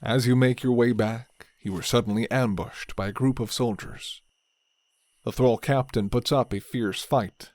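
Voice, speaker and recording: A man narrating a dark fantasy ASMR roleplay in calm second-person storybook style. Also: medium pitch, dry recording.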